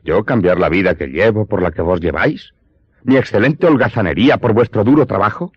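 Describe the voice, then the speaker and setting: Male actor voicing insolent teenage idler, scornful, haughty, low pitch, audio drama, dull recording. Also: a little fast, husky, no room sound. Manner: mocking, haughty. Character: insolent, lazy thirteen-year-old boy scorning honest work.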